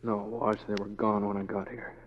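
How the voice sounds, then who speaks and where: An actor playing a hesitant, folksy western lawman in 1940s radio style, speaking in halting phrases. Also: on the low side, completely flat delivery, natural voice. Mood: grave, subdued. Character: mild, hesitant western lawman turning grimly determined. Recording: dull old radio recording.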